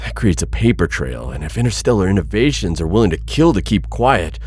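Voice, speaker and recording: A man acts out a principled, wary alien bounty hunter in an intimate audio roleplay. His voice is lowish and husky, with lively swings in pitch. He talks in a hesitant, thoughtful, rather formal way. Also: fairly quick, exaggerated voice. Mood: grave, resolved. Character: persistent insectoid alien bounty hunter, male, cautious but principled. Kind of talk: ASMR audio roleplay addressed to listener.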